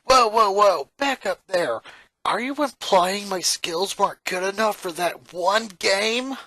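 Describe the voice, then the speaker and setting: A story reader voicing a cocky, hot-tempered sporty tomboy girl with a brash, forceful manner. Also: medium pitch, slow pace, dry recording. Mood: offended.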